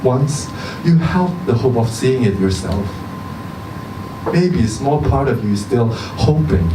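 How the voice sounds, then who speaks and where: A man giving a measured, deliberate live reading of a short story, with pauses between phrases. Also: mid-range voice, animated. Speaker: reader at a literary event. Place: indoor social venue, live event. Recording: distant mic, echoey venue, background hum.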